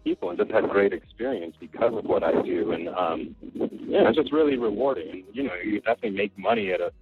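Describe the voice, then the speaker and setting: Casting: easygoing male chef, deep husky voice, phone-quality sound, dry. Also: lively intonation, quick pace, no echo, soft background music. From Costa Rica. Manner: easygoing, rambling, many fillers. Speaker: chef being interviewed. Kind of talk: relaxed conversational interview. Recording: tinny phone-like line.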